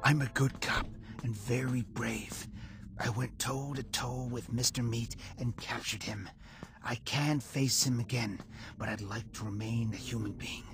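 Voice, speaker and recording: An actor playing a cocky, jittery police officer in a video game, excitable and emphatic. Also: male voice, medium pitch, a little slow, vocal fry. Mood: boastful. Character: cocky, jittery male cop, boastful but fearful. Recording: loud music behind the voice.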